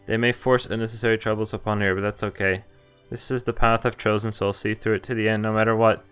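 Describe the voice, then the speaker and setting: A man with a clear, smooth voice is streaming a story game. He reads the text aloud, sometimes hesitantly, and slips into casual, amused commentary over faint music. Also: lowish voice, little pitch movement, fast talker, a bit bright. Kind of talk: reading a visual novel aloud with casual commentary.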